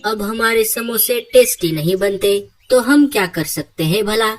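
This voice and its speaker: A woman's voice: medium pitch, moderate pace, natural voice. A woman voicing a resigned, teasing, henpecked bird husband in a children's cartoon. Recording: loud children's music behind the voice.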